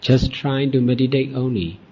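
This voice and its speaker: A man teaches meditation in a soft-edged, mellow voice that is slightly husky and veiled. He speaks deliberately, in short phrases separated by long pauses. The recording is dull and lo-fi. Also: medium pitch. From Myanmar. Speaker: Buddhist monk and meditation teacher.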